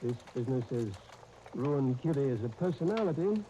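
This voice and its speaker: An actor playing an apologetic, hard-pressed theatrical producer in a 1930s radio drama, hesitant and self-justifying. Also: male voice, medium pitch, lively intonation, brisk, warm tone, dull audio, natural voice. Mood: apologetic, strained. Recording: old 1930s radio disc, crackling noise.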